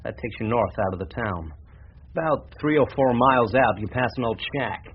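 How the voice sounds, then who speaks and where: An actor playing an eager, persistent young small-town reporter in a radio drama, polite and a little hesitant. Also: male voice, medium pitch, normal speed, natural voice. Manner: hesitant, polite, then pleading. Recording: slightly muffled, faintly noisy radio recording.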